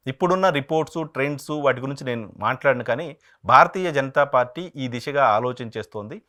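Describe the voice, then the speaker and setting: Male political commentator, medium-pitched and rapid, emphatic journalist monologue with rhetorical questions. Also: bright, forceful tone. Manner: emphatic, repeats phrases for stress, rhetorical questions. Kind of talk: political analysis monologue to viewers.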